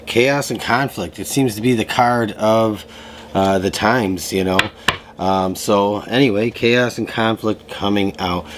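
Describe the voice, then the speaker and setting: Low-voiced man with a slight cough. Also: noisy background. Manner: relaxed, loose, full of fillers and restarts. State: slightly hoarse with a lingering cough. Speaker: tarot reader. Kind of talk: casual tarot reading to camera. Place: room at home.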